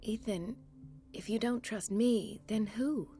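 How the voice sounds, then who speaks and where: An actress playing a composed, caring and determined hospital doctor in a video game, with a measured clinical delivery. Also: female voice, fairly high pitch, warm tone. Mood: concerned. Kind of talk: video game cutscene acting.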